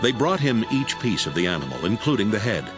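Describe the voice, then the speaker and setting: A man reading scripted scripture narration for an audiobook in a measured, solemn way. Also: on the low side, big pitch swings. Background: music.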